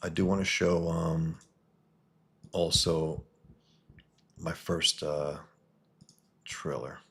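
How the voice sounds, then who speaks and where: A man from New York hosting a casual livestream, reading viewer comments and answering in a halting way that restarts and repeats words. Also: rumbling low, steady tone, vocal fry, a bit bright, husky. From New York, USA. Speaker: livestream host and comic artist.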